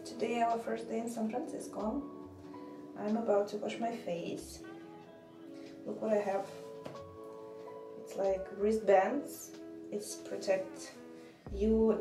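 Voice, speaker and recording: A woman's voice: medium pitch, echoey room, poor audio. Chatty young woman vlogging on a trip. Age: young adult. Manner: chatty, relaxed, with fillers like "like" and "you know". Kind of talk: casual travel vlog. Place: hotel bathroom and outdoor viewpoints. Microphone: handheld camera at arm's length.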